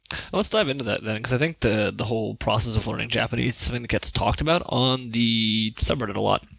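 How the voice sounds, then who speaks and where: A man with a gravelly, creaky voice and a sharp, bright edge hosts in a casual interviewing style. The sound is very dry and a little muffled. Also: mid-range voice, fast talker, dry recording. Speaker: podcast host.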